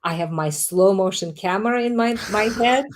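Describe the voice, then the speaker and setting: Calm woman. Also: mid-range voice, normal speed, a little hiss or hum. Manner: calm, emphatic, explanatory. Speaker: guest author. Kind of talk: interview answers.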